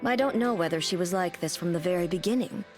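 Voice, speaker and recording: A woman voicing a calm, thoughtful woman soldier in a game. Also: on the low side, fast talker, close to an everyday voice. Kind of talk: game voice acting. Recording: game audio over faint music.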